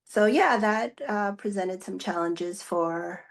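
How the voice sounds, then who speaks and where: A woman's voice: mid-range voice, slow, creaky. A woman in her forties, raised in the Philippines with Tagalog as her first language, answering interview questions as a pastor in a thoughtful way, with many fillers and restarts. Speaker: executive pastor, guest.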